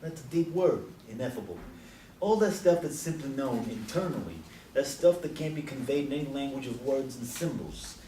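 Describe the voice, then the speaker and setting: A man's voice: medium pitch, moderate pace, warm tone, close to an everyday voice. An actor playing a wry, self-mocking old Beat man with a declamatory manner in a stage play. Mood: reflective. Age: older. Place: live stage performance recorded from a distance. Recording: roomy, far from mic, faint noise.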